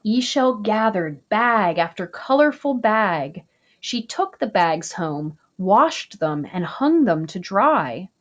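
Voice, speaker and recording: Woman, storybook read-aloud, gentle and expressive, slightly dull lo-fi sound. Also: mid-range voice, takes it slowly. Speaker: storybook reader. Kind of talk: children's picture book read-aloud.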